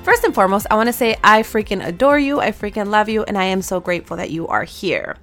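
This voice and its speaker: A woman in her thirties hosting a solo podcast, bubbly and affirming, her voice slightly nasal and raspy today. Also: mid-range voice, lively intonation, fast, a bit bright, soft background music. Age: 30s. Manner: bubbly, affirming, with slang and laughs. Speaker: podcast host and soul mentor. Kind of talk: upbeat solo podcast talk.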